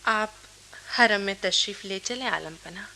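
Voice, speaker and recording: A woman's voice: mid-range voice, slow, gravelly, pushed and bright, full character voice, low-quality recording. An actress playing an anxious, devoted young queen in a Hindi radio play, with a tender, hesitant delivery. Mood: concerned.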